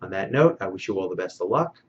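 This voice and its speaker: A man working as a recruiter gives unscripted instructional advice, speaking deliberately and repeating key phrases for emphasis. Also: sits low, animated, slight background noise. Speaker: recruiter giving job-seeker advice. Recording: dull, band-limited sound.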